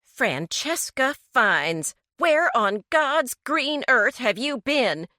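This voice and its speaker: A woman voicing a frantic, scolding middle-aged mother, high and shrill. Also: sing-song, slow, sharp tone, no echo, clean studio sound, exaggerated voice. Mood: angry.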